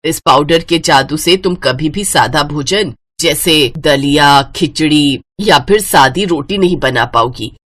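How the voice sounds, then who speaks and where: A woman's voice: low voice, unhurried, few pauses, a bit bright, pitched below the performer's own voice. A woman voicing a kindly middle-aged female magician in a story, instructing in a motherly way. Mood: earnest, instructive.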